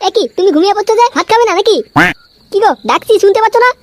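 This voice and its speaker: A woman voicing a nagging, protective middle-aged village wife and mother in a comic cartoon, sharp-tongued and defensive. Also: very high-pitched, racing.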